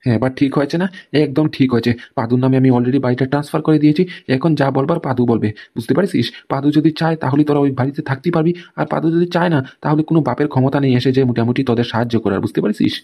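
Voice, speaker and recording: A man's voice: mid-range voice, rapid-fire, dull audio, natural voice. A man playing a stubborn, grumbling family man with a blunt, repetitive way of talking in a comedy cartoon. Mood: stubborn, defiant. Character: stubborn, grumbling male head of a squabbling family. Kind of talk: Bengali comedy cartoon dialogue.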